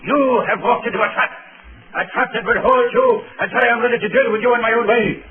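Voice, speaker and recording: Villain role: imperious, threatening espionage chief, raised pitch, clamor behind him, vintage radio melodrama. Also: male voice, fast talker, over a noisy crowd. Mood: commanding. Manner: commanding, sneering, menacing. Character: arrogant, threatening male spy ringleader villain. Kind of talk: 1930s radio acting. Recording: tinny, band-limited 1930s radio recording with background clamor.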